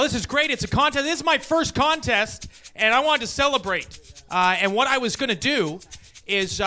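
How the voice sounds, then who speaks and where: A man's voice: very high-pitched, pushed and bright. A man performing stand-up comedy with a crude, conversational joke delivery.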